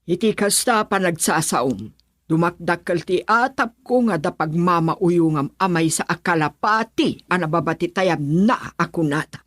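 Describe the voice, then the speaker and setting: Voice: medium pitch, a little slow, fairly bright tone, dry recording. Stern scolding mother, mid voice, dry recording. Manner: scolding, disapproving. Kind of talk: radio drama acting.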